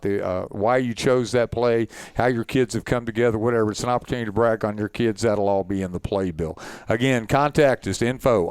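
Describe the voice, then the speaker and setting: Clear, direct man leading an information session. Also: medium pitch, a little mellow, no room sound. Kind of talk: informal explanatory presentation.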